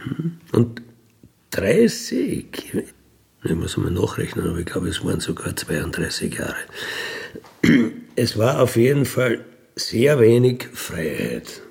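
Mature Austrian man, gravelly and unhurried, unscripted first-person recollection, plain-spoken and unapologetic. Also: lowish voice, wildly swooping pitch, darkish tone. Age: middle-aged or older. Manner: matter-of-fact, colloquial, unapologetic. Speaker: ex-convict interviewee telling his life story. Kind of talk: candid conversational recollection.

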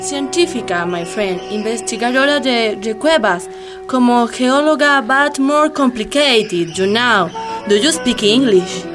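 Actress as a haughty, slow-talking foreign scientist. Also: female voice, fairly high pitch, over music. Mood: condescending. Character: haughty foreign woman scientist mixing in English. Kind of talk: radio play acting.

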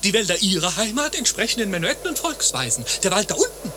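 Flustered grandiose guide, bright, swooping, radio play, slightly noisy. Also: male voice, high-pitched, big pitch swings, quick pace, full character voice. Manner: declaiming, protesting. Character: excitable grandiose tower guide, easily flustered. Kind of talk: German radio play acting. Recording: faint background noise, ambience.

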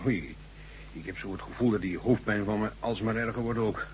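A man's voice: fairly low pitch, a little fast, lightly acted. A man acting a burly, folksy construction worker, uneasy and deferential, in a science fiction radio drama. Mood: hesitant, anxious. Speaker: actor in a radio play. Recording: dull, noisy old radio recording.

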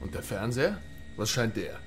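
An actor playing a brash, sly visitor with a blunt, bossy and flirtatious manner in a radio drama. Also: male voice, mid-range voice, big pitch swings, moderate pace, a bit bright, faint music behind. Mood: brash, probing. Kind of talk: German radio drama acting.

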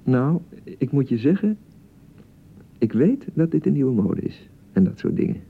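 Through a narrow, phone-like radio play sound comes an exasperated young newlywed husband. His voice is creaky and highly inflected as he coaxes and soothes with pet names. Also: big pitch swings, a little slow, exaggerated voice. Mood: coaxing, awkward. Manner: coaxing, placating, uses pet names. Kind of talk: naturalistic radio drama acting.

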